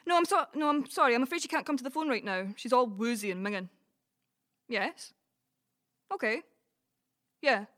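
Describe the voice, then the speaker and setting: Woman acting chatty sweary scottish lass from dumfries, blunt gossip w a soft side, highish voice, audio drama. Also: animated, normal speed, pauses often. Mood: businesslike. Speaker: community cast actor. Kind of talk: community audio drama, colloquial dialogue.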